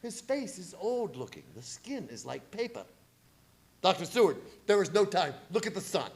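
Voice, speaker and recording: Male actor as commanding vampire hunter, radio horror: high voice, sweeping intonation, grave theatrical urgency. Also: sing-song, moderate pace, a little mellow. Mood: grave, tense. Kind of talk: radio horror drama acting with narration.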